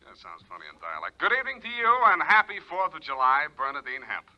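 An actor playing a teasing, sardonic private detective through a telephone filter, in 1940s radio style. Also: male voice, on the high side, wildly swooping pitch, fast, heavy background noise. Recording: dull, narrow telephone-style sound.

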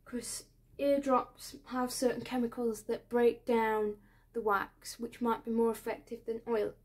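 A woman in her twenties plays a doctor in a soft-spoken ASMR roleplay. Her voice sits a bit high and she talks very slowly, with hesitant pauses and a reassuring way of explaining things. She is close to the mic in a small indoor room. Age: 20s. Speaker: ASMR creator playing an ear doctor.

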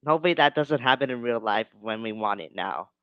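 A man's voice: mid-range voice, expressive, a little fast, clear, resonant tone, no echo, lo-fi sound, natural voice. A man playing an exasperated, easily annoyed friend who complains, in a comedy skit. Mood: frustrated.

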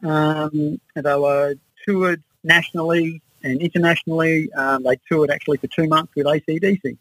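A man's voice: a little high, steady tone, normal speed, a bit bright, no echo, rough recording. An Australian man in his sixties reminiscing casually as an interview guest. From Adelaide, Australia. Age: 60s. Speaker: interview guest, musician and researcher.

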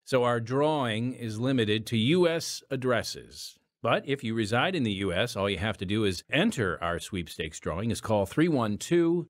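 A man's voice: mid-range voice, lively intonation, normal speed, hardly any pauses, no echo. A man reading scripted narration and announcements as the host of an audio drama podcast.

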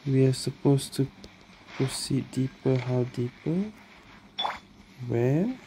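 A woman's voice: a bass voice, slow, background noise. A woman gaming streamer giving casual commentary as she plays. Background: game music.

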